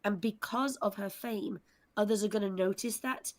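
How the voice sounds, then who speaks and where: A woman's voice: medium pitch, normal speed. A woman speaking articulately and earnestly at length, explaining characters on a discussion panel.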